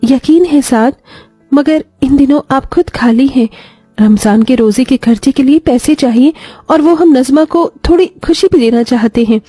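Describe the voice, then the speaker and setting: A woman's voice: high-pitched, moderate pace, mellow, breathy edge, no echo, soft background music, pitched above the performer's own voice. A woman voicing a kind, devoted young daughter-in-law in a moral story, speaking earnestly, respectfully and with feeling.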